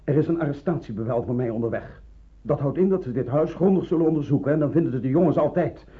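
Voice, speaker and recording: An actor playing a wartime pastor who gives urgent, authoritative orders in a radio play. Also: male voice, a little high, fast, lightly acted. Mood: urgent, commanding. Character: commanding wartime village pastor hiding fugitives. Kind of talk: radio drama dialogue. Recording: narrow tinny old radio recording.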